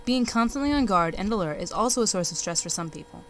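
A woman's voice: mid-range voice, expressive, fast. A young woman, a student narrator, reading a formal documentary script. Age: young adult. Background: music bed.

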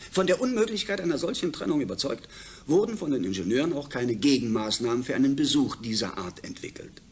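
A medium-pitched male voice playing a space project chief in a science fiction radio play. He lectures with formal assurance over faint ambient noise. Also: big pitch swings, fast. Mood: assured, explanatory. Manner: formal, explanatory. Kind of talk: German science fiction radio drama acting.

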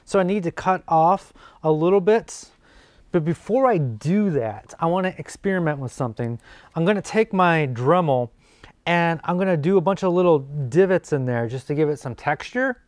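A man's voice: on the high side, moderate pace, dry recording. A man in his forties hosting a woodworking channel, explaining each step casually and thinking aloud as he works in an indoor workshop. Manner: relaxed, thinking aloud while working. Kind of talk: casual workshop how-to narration.

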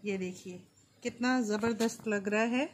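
A woman hosting a cooking channel, calmly explaining each step as she cooks at an outdoor stove. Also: medium pitch, measured pace, slight background noise.

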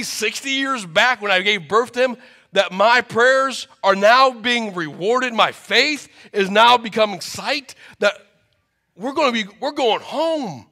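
A man's voice: sits high, creaky, voice pushed up, exaggerated voice. A man voicing an overjoyed elderly mother, exclaiming in amazement as a sermon role-play. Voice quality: excited, exclaiming.

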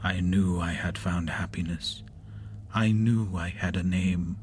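An actor playing an anguished reanimated monster, a man reciting his story gravely in measured horror verse. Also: deep voice, fairly even intonation, slight background noise. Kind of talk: dramatic horror monologue.

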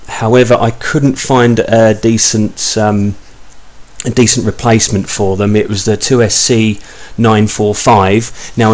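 A man in his thirties giving an informal, step-by-step repair commentary as a hobbyist, explaining things a little hesitantly. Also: fairly low pitch.

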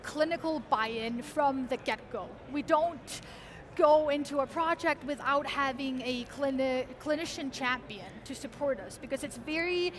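A woman's voice: sits high, unhurried, slight background noise. A young woman from Iceland with an Icelandic accent, speaking as an interview guest at a convention booth, eager and articulate. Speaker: guest, research engineer. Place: large convention hall booth, indoors.

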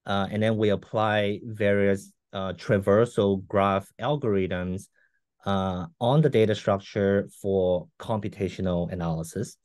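A man in his forties from Malaysia, for whom English is a second language, answers questions over a laptop video call. His voice is low and dry. He repeats words often and slips in fillers. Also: steady tone, unhurried, darkish tone, no room sound. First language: not English. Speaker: guest scientist. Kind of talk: casual interview answers. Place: room at home.

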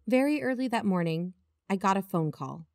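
An actress playing an earnest investigator who hosts a mystery podcast in an audio drama. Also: female voice, medium pitch, animated, moderate pace, no room sound, close to an everyday voice. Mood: composed, narrating. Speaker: podcast host character and investigator. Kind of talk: audio drama narration and dialogue.

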